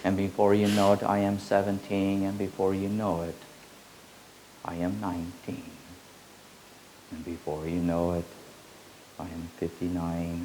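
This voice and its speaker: Deep, flat-voiced man teaching slowly. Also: slight background noise, poor audio. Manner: repetitive, incantatory phrasing. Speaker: spiritual teacher. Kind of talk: slow meditative spiritual teaching.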